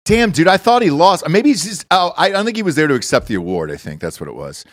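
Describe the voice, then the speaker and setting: A man's voice: on the high side, very animated, fast, no room sound, studio quality. A man hosting a podcast in casual, blunt, profane chat, asking plenty of questions. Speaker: podcast host.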